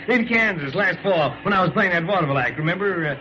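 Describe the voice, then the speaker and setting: An actor playing a big, jovial baseball star with an American accent and a hearty, folksy manner, in old radio drama style. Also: male voice, high-pitched, expressive, a little fast. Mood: jovial, eager. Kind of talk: 1930s-40s radio drama acting. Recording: muffled, noisy old radio recording.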